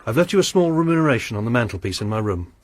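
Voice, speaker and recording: An actor playing a mysterious, genteel lodger who speaks softly and formally in a radio drama. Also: male voice, mid-range voice, big pitch swings, rapid-fire. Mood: solemn. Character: mysterious genteel male lodger, quiet and grave.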